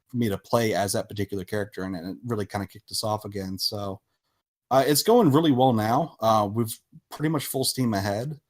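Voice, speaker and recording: A man speaking casually as a podcast guest, with halting, hesitant phrasing as he explains things. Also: medium pitch. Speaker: podcast guest, visual novel developer. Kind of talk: casual interview talk.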